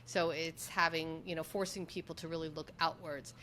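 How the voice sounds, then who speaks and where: A woman's voice: deep voice, level tone, moderate pace, bright, forceful tone, slight background noise. A woman hosting an interview in a conversational way, effusive and given to emphatic repetition. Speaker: interview host.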